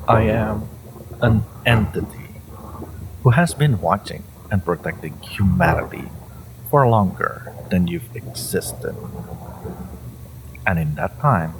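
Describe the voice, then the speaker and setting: A man playing an ancient, possessive hive-mind entity in an audio drama, condescending and theatrical, with amused menace and a low, creaky voice. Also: noisy background, heavily acted. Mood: condescending, proud. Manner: theatrical, condescending, halting with drawn-out menace and chuckles. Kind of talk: scripted audio roleplay addressed to the listener.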